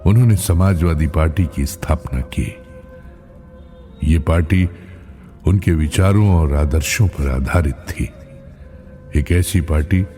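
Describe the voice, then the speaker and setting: A man reading a scripted tribute as a spokesperson, solemn, measured and reverent, with deliberate pauses. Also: extremely low pitch, warm tone. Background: music.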